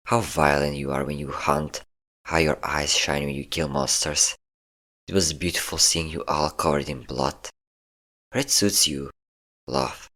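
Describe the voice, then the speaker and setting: A man's voice: very deep voice, fairly bright tone, exaggerated voice. A man voicing a possessive, sadistic and flirtatious vampire captor in an intimate roleplay audio drama, teasing and commanding. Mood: obsessive, amorous. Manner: teasing, commanding, theatrically smug.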